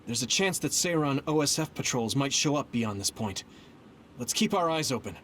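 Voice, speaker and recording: An actor playing an earnest, idealistic young platoon commander in an English game dub, with a polite, sincere way of talking. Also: male voice, medium pitch, animated, normal speed, a bit bright, close to an everyday voice. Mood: concerned. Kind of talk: English-dubbed video game cutscene acting. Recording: music behind the voice.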